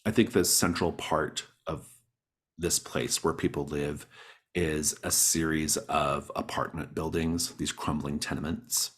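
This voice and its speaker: A man's voice: a bass voice, level tone, unhurried, a bit bright, breathy edge. A man speaking casually and encouragingly as a game master, explaining rules and setting to his players. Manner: encouraging, affirming players' ideas.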